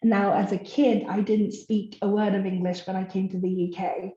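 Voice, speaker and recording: Mellow-voiced woman in her twenties, roomy sound. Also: medium pitch, flat delivery, moderate pace. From Philippines. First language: Tagalog. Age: 20s. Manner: open, reflective, with many ums. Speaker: panel guest. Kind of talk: video panel discussion. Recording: roomy, dull-sounding audio.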